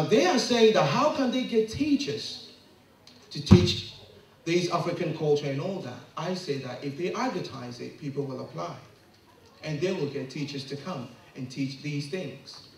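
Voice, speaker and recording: A man speaking earnestly about raising children, with rising and falling emphasis. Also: on the high side. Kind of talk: impassioned monologue. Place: London. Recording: very echoey room, voice far from the mic.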